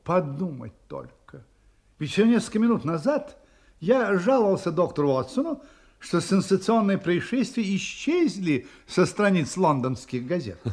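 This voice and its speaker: A self-assured gentleman detective in a radio play. The voice is a little high for a man and swings expressively. He is courteous and shrewd, with a wry, ironic edge. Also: big pitch swings, normal speed, exaggerated voice. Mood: intrigued. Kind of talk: Russian audio drama acting.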